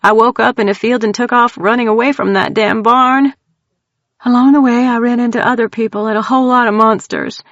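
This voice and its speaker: A woman voicing a frightened, tearful young woman with an East Texas accent, talking in a high-strung, rapid way. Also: steady tone, a bit bright, dry recording. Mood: frantic. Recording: dull dry audio.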